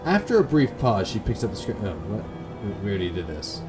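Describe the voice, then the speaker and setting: A man's voice: lowish voice, wildly swooping pitch, fast, over music, rough recording. A man from Canada reading visual novel narration aloud expressively, with casual gamer reactions. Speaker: gaming video host.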